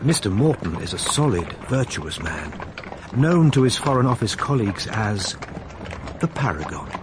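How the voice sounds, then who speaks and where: A man narrating a period radio drama in a wry, confiding, measured way. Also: fairly low pitch, sing-song, background noise. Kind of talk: scripted storytelling narration.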